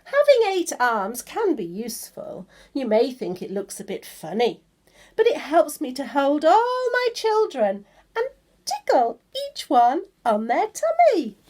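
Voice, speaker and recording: A woman's voice: sits high, wildly swooping pitch, a little slow, darkish tone, pitched above the performer's own voice, exaggerated voice. A woman voicing a cheerful, affectionate mother octopus in sing-song children's storybook verse. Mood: fond, playful.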